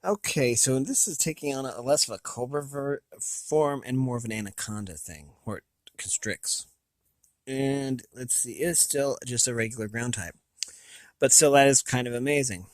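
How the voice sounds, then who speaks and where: An American man hosting a casual, unscripted review, thinking aloud in a halting, rambling way with a slightly raspy voice. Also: medium pitch, no echo. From United States. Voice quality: slightly raspy from long talking. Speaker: video host.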